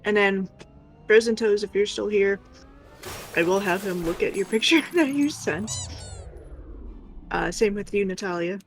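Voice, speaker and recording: A woman co-hosting a casual livestream and reading out viewer messages in a husky, creaky voice. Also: mid-range voice, measured pace, pauses often. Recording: music playing behind the voice. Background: music.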